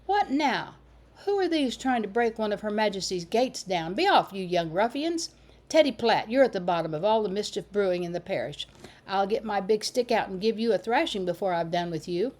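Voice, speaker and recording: A woman's voice: mid-range voice, sing-song, normal speed, darkish tone. A grumbling old gatekeeper, voiced by a woman reading aloud, blustering and scolding at children. Underneath the bluster he is a kindly old man out of a storybook. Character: gruff old village gatekeeper, soft-hearted.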